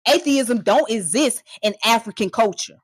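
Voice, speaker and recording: A woman's voice: fairly high pitch, lively intonation, normal speed, breathy edge, no room sound, studio quality. Fiery profane woman ranting on stream. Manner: heated, profane and mocking, with scornful laughs; confrontational, addressing viewers directly. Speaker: online streamer. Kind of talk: ranting live commentary.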